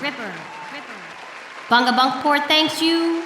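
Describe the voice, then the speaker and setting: A woman's voice: high-pitched, unhurried. An actress playing a grandiose female small-town politician in a comedy audio drama, declaiming with a public-address echo and repeated final words. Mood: grand, grateful. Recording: echoing PA, distant, noisy crowd.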